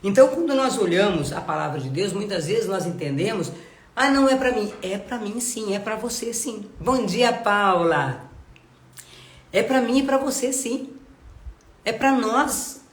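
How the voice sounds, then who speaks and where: A woman's voice: medium pitch, animated, measured pace, reverberant. A woman giving a live devotional Bible talk, nasal and congested from a cold, earnest and full of rhetorical questions. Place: home.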